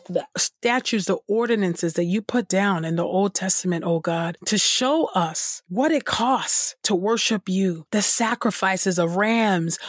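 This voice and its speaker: A woman's voice: mid-range voice, dry recording. Earnest woman in a dry recording. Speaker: Bible reading podcast host. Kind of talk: scripture reading and spoken prayer.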